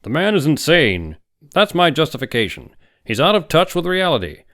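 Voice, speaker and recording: A man's voice: very animated, moderate pace, fairly bright tone. Audiobook role: male reader as huge, ruthless senior doctor, heavy-voiced, pushing every point hard. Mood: angry, urgent. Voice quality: heavy, booming. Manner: emphatic, insistent. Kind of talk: audiobook character dialogue.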